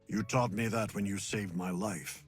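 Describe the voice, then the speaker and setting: A man's voice: fairly low pitch, steady tone, moderate pace, slightly rough, faint music behind. A man playing an earnest, assured noble prince in a video game, confident and reassuring.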